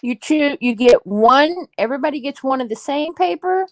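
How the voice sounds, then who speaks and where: A woman's voice: high voice, lively intonation, moderate pace. Teasing woman greeting viewers. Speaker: co-host reading viewer comments. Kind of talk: casual live chat.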